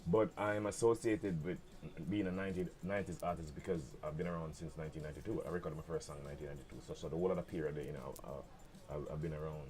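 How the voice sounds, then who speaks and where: A middle-aged Jamaican dancehall artist chatting in an interview, mixing patois with American slang. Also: male voice, low-pitched, a little mellow. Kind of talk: casual interview chat.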